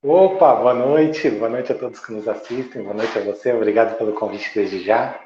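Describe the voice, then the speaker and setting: A man from Santa Catarina, Brazil, answers as an interview guest, explaining at a measured pace and often stopping to search for the right word. His voice is soft and warm. The room is roomy and echoing, and the sound is dull and lo-fi with faint music underneath. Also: mid-range voice, a little hiss or hum. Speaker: guest, businessman and journalist.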